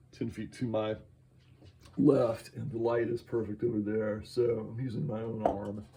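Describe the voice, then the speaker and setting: Give me a man chatting in a halting, eager way as he draws, voice dark and soft with faint background hiss. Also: fairly low pitch, creaky. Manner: halting, many fillers and restarts, enthusiastic. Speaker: artist vlogging while drawing. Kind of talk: casual rambling talk while drawing. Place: indoor studio, moves around the room.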